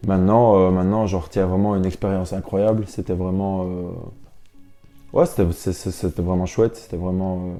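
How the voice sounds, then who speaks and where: A young man answers interview questions as a sportsman. His voice sits on the low side and is deeply mellow and dark. He talks informally and reflectively, with music playing behind him. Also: level tone, moderate pace. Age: young adult. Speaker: professional basketball player, interview guest. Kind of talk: casual interview talk.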